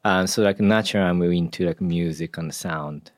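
A man from Japan with a Japanese accent, speaking as an interview guest in a halting way, with lots of "like" and "you know". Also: deep voice, no echo, studio quality. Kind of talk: casual interview chat.

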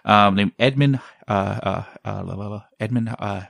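A man talks in a low voice, loose and meandering. He often stalls to search for the right word. The sound is dry, with no room echo. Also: normal speed, vocal fry, a bit bright. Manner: rambling, often searching for words. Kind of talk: casual chat.

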